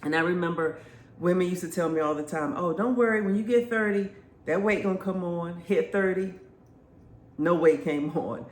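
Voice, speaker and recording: A woman's voice: lowish voice, normal speed. A woman in her fifties, congested and stuffy-sounding, chatting casually to her viewers and telling stories with frequent laughs. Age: 50s. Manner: chatty, laughs often, lively storytelling. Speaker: vlogger. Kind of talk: casual chat to camera. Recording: echoey room, faint background noise.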